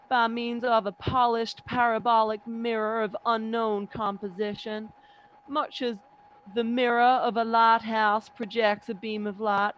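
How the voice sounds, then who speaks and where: A woman playing a learned scientist professor with a precise, academic, deliberate manner in a radio drama. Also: a little high, a bit bright, smooth, low-quality recording. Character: learned, measured scientist professor explaining an alien weapon. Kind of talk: 1930s radio drama monologue.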